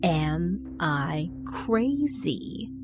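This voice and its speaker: A woman voicing a bored young man who turns quietly menacing, speaking flat and slow. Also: on the low side. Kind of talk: audiobook character voice. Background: music.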